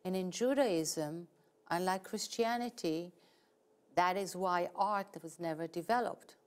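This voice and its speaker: Measured woman with an Israeli accent. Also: fairly low pitch, darkish tone. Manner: explanatory, measured, precise. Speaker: guest novelist. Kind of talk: interview answers.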